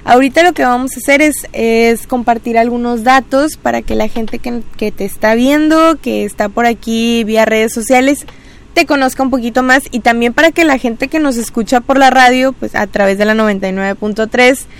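A woman's voice: a little high, moderate pace. Chatty woman radio host. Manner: chatty, encouraging, many filler words. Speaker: radio and social media host. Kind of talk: casual interview.

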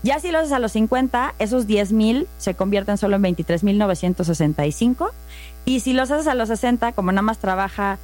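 A woman with a Mexican accent talks through investing in a relaxed, methodical way. She lays out each example patiently and clearly. Faint music and a mains hum sit under her voice. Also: mid-range voice, brisk. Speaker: finance guest. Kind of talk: casual explanatory chat.

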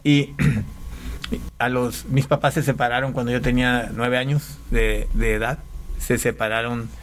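A man from Panama, an interview guest, giving a personal testimony in a casual, halting way with repeated words. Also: medium pitch, little pitch movement, slight background noise.

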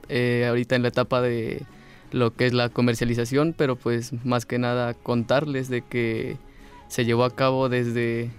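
Hesitant young man, flat delivery. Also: medium pitch, smooth. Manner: hesitant, many "eh" and "este". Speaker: student guest.

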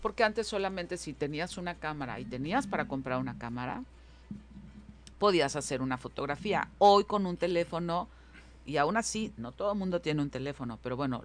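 A woman with a Mexican accent hosting a casual group chat, lively and prompting her guests. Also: deep voice, a little hiss or hum. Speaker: host.

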